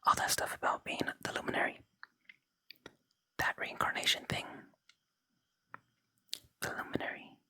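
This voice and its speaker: A gaming host speaks entirely in a gentle whisper, with a very bright, crisp-edged tone. The delivery is ASMR for a let's play, close to the microphone, moving between reading dialogue aloud and quiet commentary. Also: moderate pace, pauses often. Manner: unvoiced, gentle whispering throughout.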